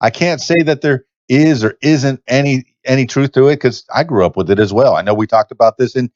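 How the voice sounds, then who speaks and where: A man's voice: mid-range voice, fairly quick, darkish tone, no room sound. A man from Miami with Cuban parents, hosting a casual podcast chat, hesitant and stammering, often calling his guest "man". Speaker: podcast host. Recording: dry, dull lo-fi audio.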